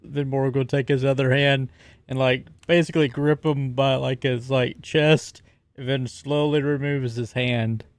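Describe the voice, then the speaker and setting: Man, medium pitch, casual tabletop roleplay talk, dry close sound. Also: fairly even intonation, unhurried, no room sound. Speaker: player in a tabletop roleplaying game. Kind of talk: casual description of character actions.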